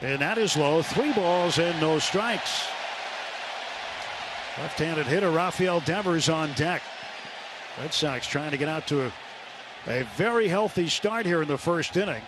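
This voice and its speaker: A man doing live radio play-by-play of a baseball game, measured and descriptive, filling gaps between pitches with statistics. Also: expressive. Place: stadium broadcast booth. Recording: noisy ballpark radio broadcast. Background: loud ballpark ambience.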